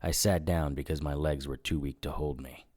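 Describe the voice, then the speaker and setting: Tired noir detective narration, deep voice, dry close sound, wry and hard-boiled. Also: male voice, fairly even intonation, normal speed, a little mellow, no echo. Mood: wary, wry. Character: weary noir male investigator narrating his own troubles. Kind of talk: first-person scripted drama narration.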